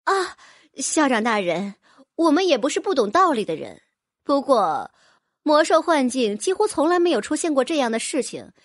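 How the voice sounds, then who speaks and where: A woman's voice: on the high side, no room sound, studio quality. Woman playing a gushing fawning noblewoman. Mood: polite complaint. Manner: gushing, exclamatory, wheedling. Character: fussy fawning rich noblewoman mother.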